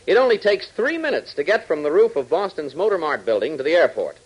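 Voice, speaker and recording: A man's voice: on the high side, big pitch swings, fast, husky, low-quality recording. A man with an American accent reading scripted 1940s radio narration in a polished, dramatic announcer's delivery.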